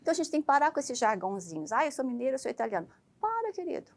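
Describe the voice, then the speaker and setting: A woman from Brazil talks as a doctor guest in a TV studio. She gives frank, forceful advice with lively, emphatic delivery. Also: high-pitched, very fast. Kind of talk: TV interview.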